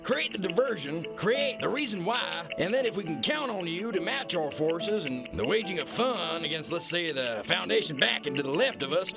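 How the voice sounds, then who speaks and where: A man acts out a smug kingpin in a satirical audio play. The voice sits high for a man, swings widely and crackles with fry. He talks like a pompous, folksy dealmaker, over music. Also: sing-song, normal speed, few pauses, heavily acted. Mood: scheming, persuasive. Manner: pompous, folksy, wheeling and dealing. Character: smug, pompous male kingpin scheming over capital gain.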